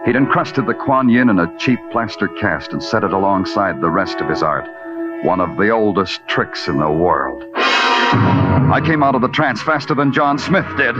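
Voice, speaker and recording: A man's voice: lowish voice, animated, moderate pace, lightly acted. A man playing a wry, world-weary police detective in a 1950s radio noir, with clipped, dry, poetic phrasing. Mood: tense narrating. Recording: dull vintage radio recording with music.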